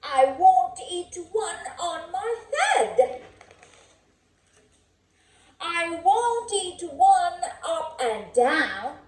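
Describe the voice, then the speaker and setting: Woman playing grumpy hungry gorilla, storybook character, emphatic, stubborn, very animated pitch, echoey room. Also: exaggerated voice. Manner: emphatic, drawn-out refusals.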